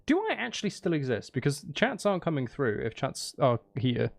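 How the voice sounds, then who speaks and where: A man's voice: mid-range voice, sing-song, fairly quick, creaky. A man chatting casually on a livestream as he composes, thinking aloud in an apologetic, self-interrupting way. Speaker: live streamer and voice performer. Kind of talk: casual livestream chat while composing music.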